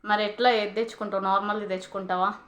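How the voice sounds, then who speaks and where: A woman's voice: mid-range voice, flat delivery, rapid-fire, background noise. Insistent Telangana woman on a casual call. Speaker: vlogger making a prank call to a friend. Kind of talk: casual phone conversation.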